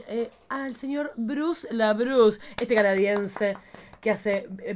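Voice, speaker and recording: A woman's voice: medium pitch, a little mellow. An Argentine woman hosting a casual chat show in an irreverent, theatrical, slangy way. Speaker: podcast host.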